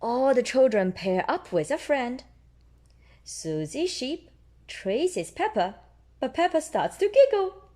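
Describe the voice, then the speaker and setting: A woman's voice: sits high, big pitch swings, takes it slowly, mellow. A woman reading picture books aloud for young children and explaining things simply. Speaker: storybook reader.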